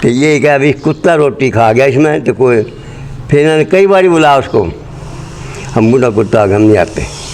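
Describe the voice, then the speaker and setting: An elderly man with a Haryanvi accent reminisces in an interview, speaking at a middling pitch. His telling is blunt, earthy and rambling. The location sound is clearly noisy. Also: animated, normal speed, darkish tone. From Rohtak, Haryana, pre-partition India. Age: 80s or older. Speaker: interviewee, partition migrant.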